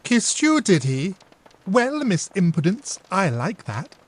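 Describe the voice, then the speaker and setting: A poised, warm-hearted elder sister, as a male reader puts her on, teasing and exclaiming in a high, lively voice that leaps up and down. She stays dignified even when she scolds. It is a storybook voice for an audiobook. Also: slow, exaggerated voice.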